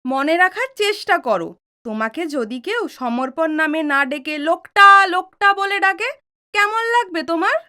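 A woman's voice: very high voice, lively intonation, measured pace, sharp tone, full character voice. A woman playing an outspoken, teasing young daughter who argues impatiently, in an audio drama. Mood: argumentative. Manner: argumentative, impatient, cheeky. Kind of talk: Bengali audio drama acting.

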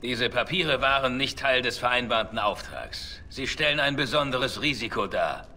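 An actor voicing a roguish, cynical elf outlaw in a video game, with a dry, wry way of talking. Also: male voice, medium pitch, normal speed, sharp tone, a little hiss or hum. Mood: matter-of-fact. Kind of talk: dramatic video game dialogue.

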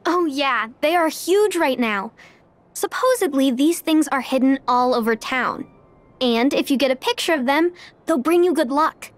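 A woman playing a kind, sensible, gently teasing teenage girl in an animated video game. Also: high-pitched, moderate pace. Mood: upbeat.

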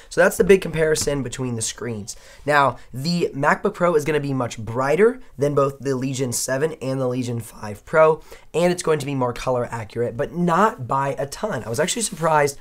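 A man in his thirties with a hoarse, worn voice, giving an upbeat, chatty laptop comparison review at a studio desk. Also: medium pitch, a bit bright. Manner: upbeat, chatty, punctuates points with "okay?". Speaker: tech reviewer. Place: indoor studio desk, dim room.

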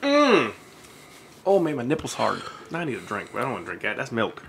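A man's voice: mid-range voice, wildly swooping pitch, a little fast, gravelly, fairly bright tone. A man in his thirties co-hosting a live stream, joking crudely and laughing while tasting hot sauces at a desk mic in a small studio room. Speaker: co-host. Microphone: studio mic on desk stand. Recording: noisy live stream audio.

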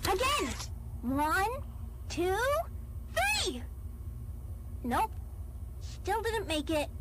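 Hyper cartoon bunny toy, bossy, extremely high, very noisy recording. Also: female voice, big pitch swings, very slow, heavily acted. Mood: eager. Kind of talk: children's toy character voicelines.

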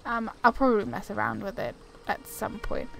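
High-voiced young woman chatting over a game. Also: steady tone, normal speed, creaky, a bit bright, poor audio. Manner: rambling, giggly, informal. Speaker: gaming video host. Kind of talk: casual chatty game playthrough commentary. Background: game music.

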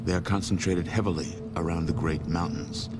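An actor playing a solemn, measured war chronicler narrating a post-apocalyptic game. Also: male voice, low-pitched, fairly even intonation, lightly acted. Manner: grave, measured storyteller delivery. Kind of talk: scripted game cutscene narration. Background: music.

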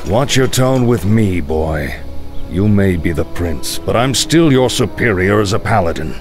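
An actor playing a stern older veteran paladin in a fantasy video game, authoritative, scolding and emphatic. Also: male voice, low-pitched, expressive, a little slow, soft background music. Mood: indignant. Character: stern older veteran paladin, proud mentor to a young prince.

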